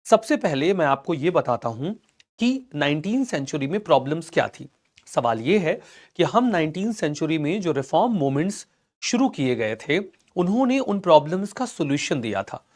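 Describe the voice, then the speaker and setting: Slightly hoarse Indian male teacher. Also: a little high, sing-song, moderate pace, a little mellow. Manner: reassuring, calls students "beta", mixes English into Hindi. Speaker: online teacher. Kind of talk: live online history class.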